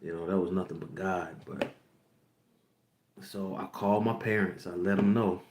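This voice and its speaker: A man's voice: low voice, fairly even intonation, normal speed, reverberant, background noise. A young man in his twenties hosting a podcast, telling a candid personal story to his viewers, rambling and repeating lines. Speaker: podcast host. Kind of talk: personal storytelling to a livestream audience.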